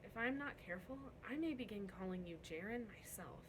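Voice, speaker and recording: A woman voicing a young servant girl in a storybook read-aloud, soft, hesitant, earnest and worried. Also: medium pitch, lively intonation, brisk, noisy background, natural voice. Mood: gentle, hesitant.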